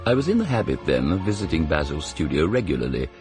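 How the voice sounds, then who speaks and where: A worldly, cynical English aristocrat in a radio drama, speaking in a low voice that rises and falls freely. His upper-class accent is languid and arch, and he delivers epigrams with theatrical relish, faint music about him. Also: very animated, fast. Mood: reflective. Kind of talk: radio drama acting and first-person narration.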